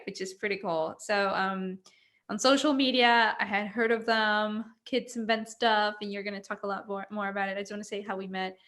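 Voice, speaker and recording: A woman's voice: medium pitch, fairly bright tone. A woman from Mexico with a Mexican accent, co-hosting a casual live video chat and laughing often. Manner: giggly, chatty, laughs often. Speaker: co-host. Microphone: computer mic on a video call.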